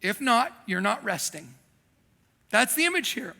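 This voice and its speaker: A man preaching a sermon as a pastor, telling animated, self-deprecating stories from his childhood in Thailand. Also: very high-pitched, a little slow, vocal fry. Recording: long hall reverb.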